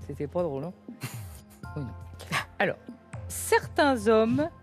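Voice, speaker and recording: A woman's voice: fairly low pitch, huge pitch swings, takes it slowly, soft background music. A woman in her sixties hosting a word game show, reading clues with emphasis and laughing often. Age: 60s.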